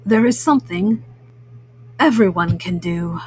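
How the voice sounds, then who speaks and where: A woman voicing a kind, reassuring cat in a children's storybook, with a gentle, consoling manner. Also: on the low side, unhurried, slight background noise, voice pushed down, close to an everyday voice.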